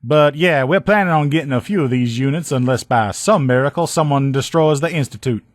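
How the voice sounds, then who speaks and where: A man's voice: mid-range voice, fairly quick, no room sound, close to an everyday voice. A man voices a loyal, upbeat army lieutenant who fixes armor, written for a video game. He talks like a plain-spoken, folksy soldier, informal and sure of himself. The sound is dry, with no room around the voice. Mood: brisk, assured. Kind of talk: video game character dialogue.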